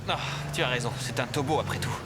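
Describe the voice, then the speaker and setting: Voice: medium pitch, animated, fast, gravelly, heavy background noise. A performer playing a friendly, worried young male hero with an earnest manner in a French-dubbed cartoon. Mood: worried, apologetic.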